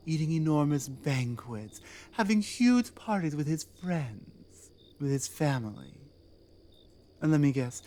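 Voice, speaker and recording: A woman playing a proud, battle-hardened orc queen in a scripted ASMR roleplay, speaking deliberately with commanding, pointed rhetorical phrasing. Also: a bass voice, warm tone, exaggerated voice. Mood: grim, knowing.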